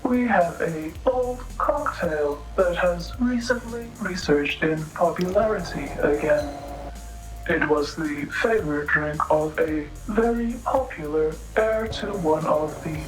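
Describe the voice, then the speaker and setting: An actress playing a polite, sly female android bartender in a noir audio drama, speaking formally and literally in halting, precise phrases. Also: low-pitched, soft background music, low-quality recording. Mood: informative.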